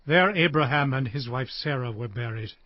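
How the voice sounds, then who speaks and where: An actor playing a dying old patriarch solemnly blessing his sons in a dramatized audio Bible, in a declamatory, deliberate manner. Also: mid-range voice, dry recording, lightly acted. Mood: grave, weary. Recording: dull lo-fi audio with music bed. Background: background music.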